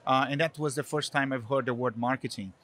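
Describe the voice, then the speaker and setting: Brazilian-accented man, middle-aged, mid-pitched, rambling with fillers, casual interview guest. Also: steady tone, a little fast, fairly bright tone. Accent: Brazilian Portuguese. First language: Portuguese. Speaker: guest, marketing executive.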